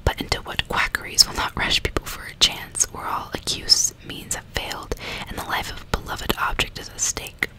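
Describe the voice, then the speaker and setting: A woman reading a gothic novel aloud as ASMR in a soft, breathy, unvoiced whisper, slow and deliberate, close to the microphone. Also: low-quality recording.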